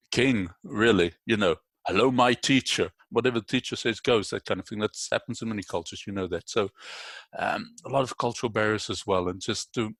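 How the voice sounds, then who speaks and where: A man adding his view in a webinar discussion over a video call, speaking haltingly with many fillers. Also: fairly low pitch, a bit bright, no echo. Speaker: webinar participant teacher. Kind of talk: casual contribution in discussion.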